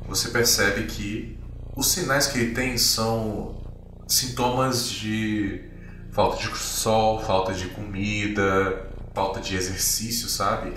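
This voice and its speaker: A Brazilian man working as game master, improvising tabletop RPG narration in a halting, descriptive way with frequent tag questions. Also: fairly low pitch, fairly bright tone, slight background noise. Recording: very echoey room, distant, music behind. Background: music.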